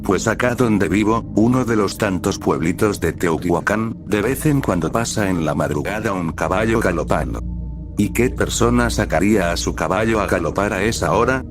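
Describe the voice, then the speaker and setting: A man with a fairly low voice narrates scary stories. He reads from a script as a storyteller, and music plays clearly behind him. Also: moderate pace. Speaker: narrator of a horror stories channel.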